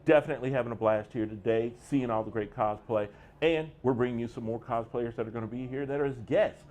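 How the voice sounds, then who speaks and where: A man's voice: medium pitch, quick pace, a little mellow. A man with a Texan accent, hosting a casual convention interview, joking and playful. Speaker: host. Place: convention hall.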